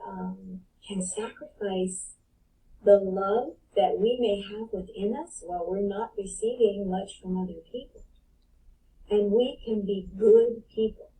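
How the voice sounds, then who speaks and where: A woman's voice: medium pitch, mellow. A woman speaking as a guest life coach in a reflective spiritual talk, building her points through emphatic repetition, recorded on a distant mic in an online live audio room.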